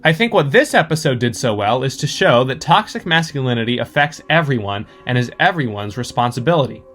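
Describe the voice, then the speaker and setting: A man's voice: medium pitch, animated, a little fast, fairly bright tone, faint music behind. A man giving articulate, scripted commentary as a listener sending in his thoughts.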